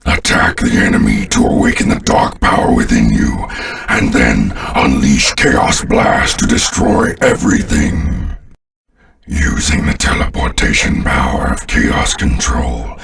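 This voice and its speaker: A sinister alien overlord speaks from the very bottom of the register, slow and imperious. The voice is gravelly and sounds a little distant, dripping with contempt like a commanding video game villain. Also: male voice, a bass voice, fairly bright tone, exaggerated voice. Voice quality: gravelly, growling.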